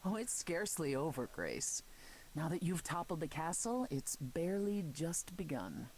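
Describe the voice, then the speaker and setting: An actress playing a proud, composed goddess in a video game, speaking formally with a dry, deliberate manner. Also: female voice, low-pitched, very animated, darkish tone, a little hiss or hum, poor audio. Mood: assured. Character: composed, proud goddess, sardonic sister and former leader.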